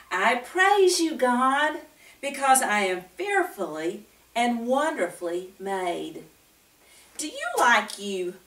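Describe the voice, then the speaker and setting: An older woman hosting a children's Bible story time, speaking directly to young listeners with frequent questions and pauses between phrases. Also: medium pitch, a bit bright. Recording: roomy, echoing, distant microphone.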